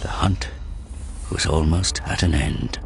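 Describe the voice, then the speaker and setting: A man reading scripted dramatic narration for an audio drama, grave and measured. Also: a bass voice, creaky, faint music behind.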